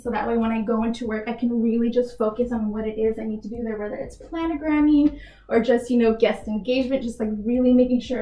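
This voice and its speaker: Mellow, higher-pitched young woman in a roomy space. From Texas, United States. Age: young adult. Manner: earnest, filler-heavy with frequent "like". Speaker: job applicant being interviewed, retail worker. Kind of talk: phone interview answers.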